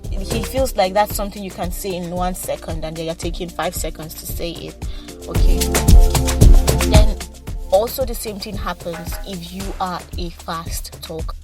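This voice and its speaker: A woman hosting a solo advice podcast, talking quickly with a slight stutter and frequent repeated words and restarts. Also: fairly low pitch. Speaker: podcast host.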